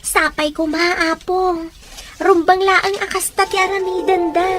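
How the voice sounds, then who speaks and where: A woman's voice: very high voice, little pitch movement, unhurried, over loud music. A woman playing a polite, humble young granddaughter in an audio drama. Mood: respectful, hopeful. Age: young adult. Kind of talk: Ilocano audio drama dialogue.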